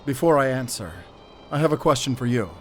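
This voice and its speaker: Man playing an insistent captive, medium pitch. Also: animated, a little fast, faint music behind, lightly acted. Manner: insistent, earnest. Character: urgent, earnest male captive pleading his case. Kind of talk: cinematic audio drama acting. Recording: faint vehicle noise behind.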